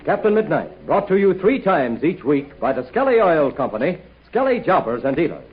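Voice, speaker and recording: High-pitched, animated 1940s radio announcer man. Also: normal speed, very noisy. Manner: hearty, folksy, persuasive. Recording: muffled 1940 radio broadcast.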